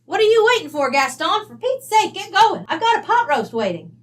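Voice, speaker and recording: A woman's voice: very high-pitched, very animated, brisk. A woman playing a brash, folksy, middle-aged rancher widow with an American West accent, blunt, bossy and slangy, in an old-time radio drama. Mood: impatient.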